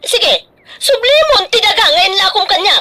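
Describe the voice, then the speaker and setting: An actress with an Ilocano accent playing a greedy, scheming, bossy daughter in a radio drama, pushy and exclamatory. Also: female voice, very high voice, big pitch swings, normal speed, bright, forceful tone, full character voice. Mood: indignant, defensive.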